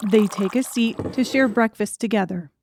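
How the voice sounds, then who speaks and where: Woman narrating an audiobook, mid-pitched. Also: moderate pace, noisy background. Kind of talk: scripted narration.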